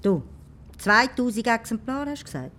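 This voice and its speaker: A nosy village gossip in a radio drama. Her voice is husky and very lively in pitch, casual and sceptical. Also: moderate pace. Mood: mocking. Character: curious, incredulous gossiping village woman, mildly mocking. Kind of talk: conversational radio play acting.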